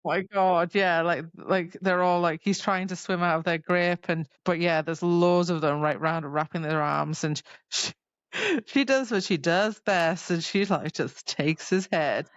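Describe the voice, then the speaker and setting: Lowish, slightly muffled woman chatting loosely. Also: no room sound. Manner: loose, halting, filler-heavy phrasing with many "like"s. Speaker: podcast co-host. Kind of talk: casual book chat, retelling scenes.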